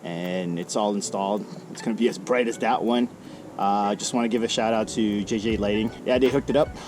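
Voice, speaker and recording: A man's voice: lowish voice, steady tone, brisk, noisy background. A man hosting a vlog in casual, laid-back, slangy chat with an upbeat manner. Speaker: vlog host.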